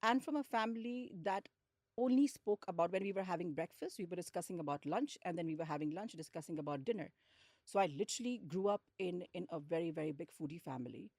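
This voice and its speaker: A woman's voice: on the low side, fast, darkish tone, dry recording. A woman with an Indian accent, talking conversationally and telling stories as a guest in an interview. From India, grew up in Lucknow and Hyderabad. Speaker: podcast guest, hospitality executive.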